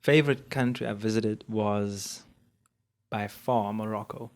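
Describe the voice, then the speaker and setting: A South African man in his late twenties, a podcast guest answering questions in an easygoing, soft-spoken way, often laughing. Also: on the low side, steady tone, a little slow, clear, resonant tone. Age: late 20s. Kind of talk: casual interview chat.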